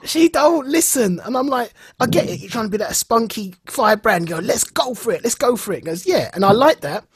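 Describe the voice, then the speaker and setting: A man co-hosting a podcast in a casual, enthusiastic way, telling stories in an animated manner and acting out bits of dialogue. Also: very high voice, brisk, hardly any pauses, breathy edge, dry recording. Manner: animated storytelling, acts out reported dialogue. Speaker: podcast co-host.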